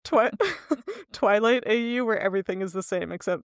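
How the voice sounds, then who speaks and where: A woman's voice: medium pitch, lively intonation, normal speed, no echo. A woman co-hosting a book podcast, chatting casually and joking with dry mock-seriousness. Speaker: podcast co-host.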